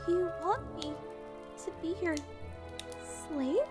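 A woman's voice: extremely high pitch, slow pace. A woman playing a timid, distressed, well-bred young heiress in a comic dub, earnest and polite. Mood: timid, hesitant. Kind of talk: comic dub character acting. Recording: voice over prominent music.

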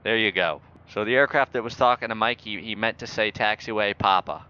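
Man, bright forceful voice, sarcastic bossy controller role-play, dull voice-chat audio, faint noise. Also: lowish voice, a little fast. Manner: bossy, sarcastic, mock-authoritative. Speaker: YouTuber playing air traffic controller. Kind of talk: improvised air traffic control role-play in a flight simulator game.

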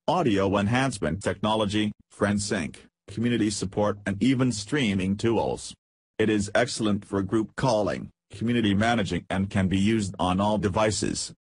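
A man with a low, husky voice narrates a software tutorial from a script. The sound is very dry and close, with no room around it. He delivers it as step-by-step instruction. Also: dry recording.

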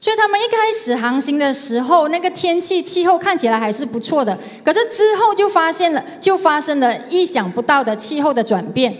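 Female sermon interpreter, 30s, Malaysian Mandarin accent, high voice, reverberant church hall. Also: animated, brisk, few pauses. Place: large church hall, indoors, on stage. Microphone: handheld mic. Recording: echoey hall with long reverb.

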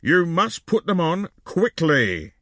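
A man playing a strict wartime official in a storybook, giving crisp orders to children. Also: medium pitch, very animated, a little slow, dry recording, clean studio sound. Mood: cross.

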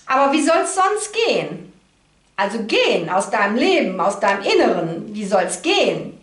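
A woman's voice: mid-range voice, big pitch swings, normal speed. A middle-aged woman giving an informal card reading to viewers, conversational and reassuring, often checking in with little tag questions. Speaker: card reader, host. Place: indoor room, at a card table. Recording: echoey room sound.

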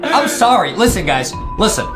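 A young American man giving excited, slangy, exaggerated casual commentary as co-host of a gaming reaction video. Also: sits high, moderate pace, roomy sound, loud music behind the voice. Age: young adult.

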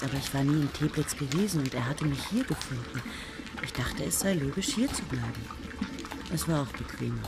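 An actress in a radio play playing a young woman student who speaks softly and dreamily. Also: low-pitched. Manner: soft and hushed, dreamy. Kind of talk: radio play acting and narration.

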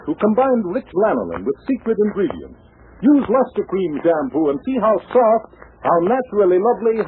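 An American man reading scripted commercial copy in a 1940s radio style. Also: high voice. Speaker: commercial announcer. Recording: tinny 1940s radio recording.

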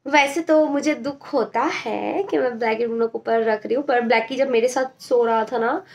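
A woman's voice: fairly high pitch, normal speed, vocal fry. A woman in her twenties from India, chatting casually as a vlogger in an informal way, mixing in English. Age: 20s. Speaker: vlogger and gaming streamer. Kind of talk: casual vlog chat mixing Hindi and English. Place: small rooms at home. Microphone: phone held at arm's length.

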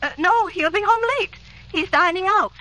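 Anxious, high-voiced devoted wife. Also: female voice, normal speed, pushed and bright, rough recording. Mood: nervous, hopeful. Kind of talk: 1950s radio drama acting.

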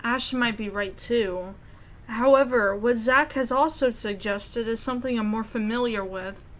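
A woman's voice: a little high, unhurried, fairly bright tone. A woman reading a visual novel aloud as a gamer, mixing narration with sassy, casual commentary.

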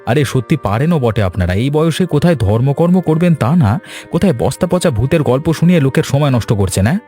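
A man's voice: medium pitch, expressive, quick pace, dark tone, close to an everyday voice. A man voicing a dismissive, bossy village doctor in a cartoon, with a scornful, scolding manner. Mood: scornful, irritated. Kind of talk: Bengali cartoon voice acting. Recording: background music bed.

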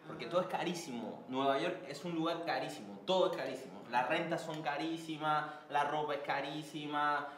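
A man's voice: on the high side, a little fast, hardly any pauses, a bit bright, reverberant, a little hiss or hum. A young Cuban man arguing defensively with his family at a dinner table, repeating himself insistently. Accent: Cuban Spanish. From Cuba. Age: young adult. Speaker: son returning from abroad. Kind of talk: documentary-style family argument. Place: family home dining room.

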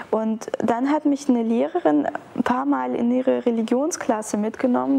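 A young woman in an interview, telling a story in a lively way and quoting what others think. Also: a little high, gravelly. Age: young adult. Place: indoors by a wall.

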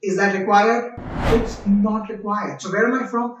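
A man's voice: high voice, moderate pace, noisy background. Indian man teaching, very echoey room. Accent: Indian English. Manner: conversational, animated hand gestures, rhetorical questions. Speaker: IELTS tutor. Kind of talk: direct-to-camera teaching. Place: small indoor room. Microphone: lapel mic. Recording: very echoey room, mic at a distance.

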